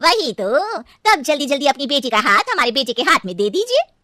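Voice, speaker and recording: A woman's voice: high-pitched, very animated, moderate pace, bright, forceful tone, no room sound, clean studio sound, heavily acted. A woman playing a proud, pushy older mother-in-law in a cartoon, insistent and self-righteous. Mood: boastful. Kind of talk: animated cartoon dialogue.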